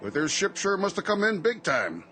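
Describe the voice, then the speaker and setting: A man's voice: high voice, wildly swooping pitch, moderate pace, pushed and bright, faint music behind, full character voice. A man playing a cheery, grateful, folksy shop customer in a radio drama. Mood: cheerful.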